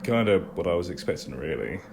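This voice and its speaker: A man's voice: low voice, animated, fast, creaky, noisy background. A man playing a dry, curious, level-headed engineer passenger in a fan audio drama, with a wry conversational manner. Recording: loud music behind.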